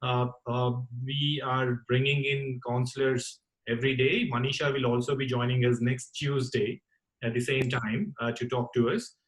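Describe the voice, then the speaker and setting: A man hosting an online call-in talk session, speaking in a courteous, formal and halting way. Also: medium pitch, fairly even intonation, rough recording. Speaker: host of a campus radio talk session. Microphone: online group call.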